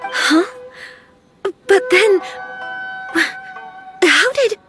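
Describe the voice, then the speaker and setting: High, slow-spoken gentle young noblewoman. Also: lively intonation, music in the background, full character voice. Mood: startled, confused. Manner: soft-spoken, hesitant, formal. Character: gentle, polite young noblewoman bride-to-be, hesitant and earnest. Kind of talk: video game voice acting.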